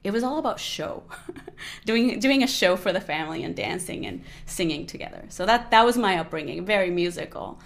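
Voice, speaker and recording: A woman's voice: mid-range voice, animated, fairly quick. A Colombian woman in her forties, a native Spanish speaker, chatting in an interview with many fillers like "you know". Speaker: guest musician. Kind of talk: casual interview conversation.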